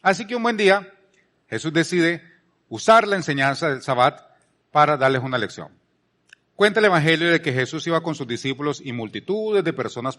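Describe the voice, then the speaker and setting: A man's voice: fairly high pitch, fairly bright tone. A man from Colombia with a Colombian accent, giving a conversational sermon as a preacher, repeating phrases for emphasis and posing rhetorical questions. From Palmira, Colombia.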